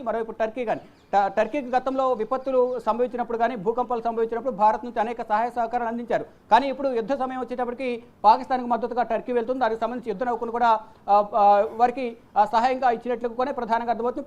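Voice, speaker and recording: A woman presenting unscripted news commentary, repeating phrases and pausing on fillers as she goes. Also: a little high, a little mellow, lo-fi sound.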